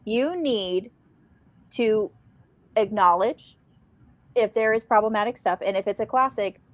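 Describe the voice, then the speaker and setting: A woman's voice: mid-range voice, measured pace, dry recording. A woman, co-hosting a casual live discussion about books. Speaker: co-host of a book discussion livestream.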